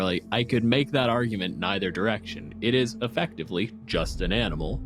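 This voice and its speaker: A man hosting a casual panel discussion, talking in an explanatory, analytical way. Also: lowish voice. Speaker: podcast host.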